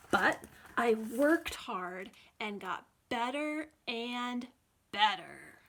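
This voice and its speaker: Female storytime reader: unhurried, highly expressive, slightly high voice, clearly addressing small kids. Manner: expressive, deliberate phrasing for young listeners. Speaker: children's librarian reading aloud. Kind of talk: animated children's storytime read-aloud.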